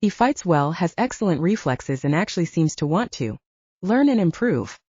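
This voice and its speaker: Audiobook role: confident young man, teasing and courtly, performed by a woman. Mellow tone, slightly lo-fi recording. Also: lowish voice, big pitch swings, normal speed, husky, no echo. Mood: measured, earnest. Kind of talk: audiobook character dialogue.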